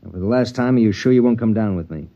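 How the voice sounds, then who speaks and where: An actor playing a brusque, impatient husband in a 1950s radio drama. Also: male voice, on the low side, fast, warm tone, lightly acted. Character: stern, calculating husband. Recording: dull old radio broadcast.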